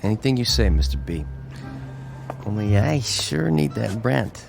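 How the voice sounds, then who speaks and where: An actor playing a nonchalant cheap motel desk clerk in a radio drama, folksy and casual, with a gravelly voice. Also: male voice, lowish voice, lively intonation, a little slow, music in the background, full character voice. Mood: obliging.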